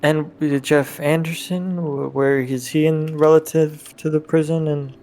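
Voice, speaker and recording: A man playing a tabletop role-playing game, talking casually in character in a halting way. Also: fairly high pitch, fairly even intonation, a little mellow.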